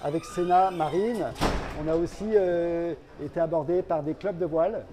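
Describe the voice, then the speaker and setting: A man speaking as a company representative in an interview, explaining products in a halting way and repeating words. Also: fairly high pitch, fairly even intonation, a crowd in the background.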